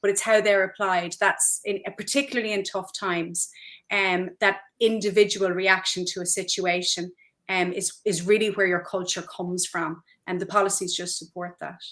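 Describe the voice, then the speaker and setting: A woman speaking as a people officer on a webinar panel, measured and explanatory, with frequent ums. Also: fairly low pitch, fairly even intonation, fairly bright tone.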